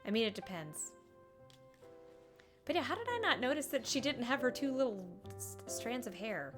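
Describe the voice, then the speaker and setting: A woman giving casual, expressive and playful reaction commentary as she streams a game. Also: fairly high pitch, moderate pace, music in the background.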